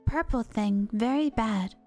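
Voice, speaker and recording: A woman voicing a shy, gentle sea creature from a video game, speaking in halting fragments of one or two words. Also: on the high side, soft background music, pitched below the performer's own voice, close to an everyday voice. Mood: halting, earnest. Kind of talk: video game dialogue read aloud.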